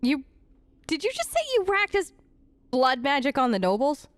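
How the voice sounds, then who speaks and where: A woman's voice: high voice, sing-song, normal speed, dry recording. A woman doing casual role-play commentary for a video game, wry and conversational, on a close microphone. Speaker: let's play host.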